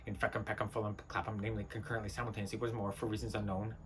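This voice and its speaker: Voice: medium pitch, animated, moderate pace, noisy background, close to an everyday voice. An actor performing a wretched servant's absurdist stage monologue, declaiming pseudo-scholarly nonsense with stammering repetitions and swings between murmur and cry. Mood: muttering, rambling. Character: Lucky, a wretched servant spouting frantic broken pseudo-scholarly rambling.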